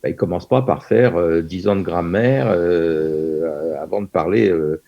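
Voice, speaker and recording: A man's voice: low-pitched, measured pace, gravelly, dark tone, muffled recording. An older man in his seventies from France, a Yiddish teacher being interviewed over a video call, hesitant with many fillers and restarts.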